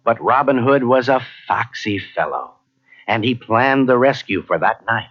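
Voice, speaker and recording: A man narrating a children's read-along story in a dramatic, expressive, scripted style. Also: medium pitch, unhurried. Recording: muffled old cassette, music behind.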